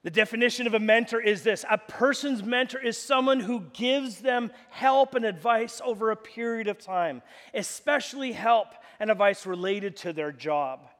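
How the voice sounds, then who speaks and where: Male pastor, high-pitched, loud and animated, preaching in a church hall with long reverb. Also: normal speed. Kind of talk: sermon.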